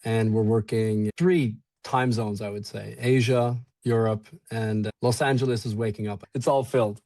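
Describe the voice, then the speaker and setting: A man's voice: on the low side, fairly even intonation, moderate pace, darkish tone, no echo. A Swedish-born man, raised abroad, talking in a chatty, enthusiastic and rambling way as a guest on a video call. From Sweden. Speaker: event organizer guest. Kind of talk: casual webinar chat.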